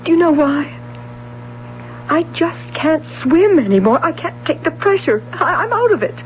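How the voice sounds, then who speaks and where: Fragile young female ex-swimmer, high-voiced, hesitant; dull vintage radio play audio. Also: sing-song, a little hiss or hum. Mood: distressed. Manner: halting, emotional. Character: young woman, former swimming hopeful, anxious. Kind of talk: 1970s radio drama acting. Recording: dull old radio recording.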